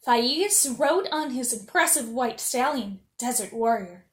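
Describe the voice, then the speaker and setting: Female storyteller for kids, very high voice, expressive read, recorded in an echoing room. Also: unhurried. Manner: animated, acting out dialogue. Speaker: storyteller reading as a princess character. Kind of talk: expressive children's story reading.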